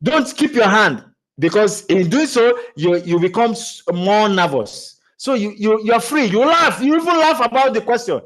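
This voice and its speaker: A man giving a motivational talk on public speaking, animated, with lots of restarts and repeated words, laughing as he goes. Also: sits high, moderate pace, rough recording. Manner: laughs while talking. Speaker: speaking coach.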